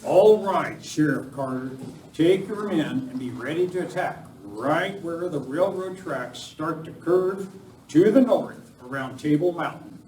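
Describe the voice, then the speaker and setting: A man's voice: on the high side, animated, measured pace, darkish tone. A man playing a commanding masked cowboy hero in a live amateur radio play. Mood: commanding, brisk. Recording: distant, echoey live recording, faint noise.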